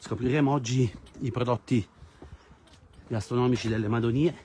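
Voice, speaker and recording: A man's voice: mid-range voice, steady tone, normal speed, mellow. Man presenting live outdoors, noisy recording. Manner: presenter-like, addressing viewers directly. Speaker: travel live-stream host. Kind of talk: live travel commentary to viewers. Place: outdoors in a hilltop village.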